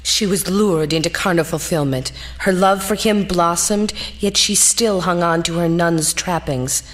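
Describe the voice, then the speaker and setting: A dignified, grave lady, played by a woman, narrates a ghost story. Her voice is slightly low and her delivery solemn and measured, in theatrical period-drama style. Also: lightly acted.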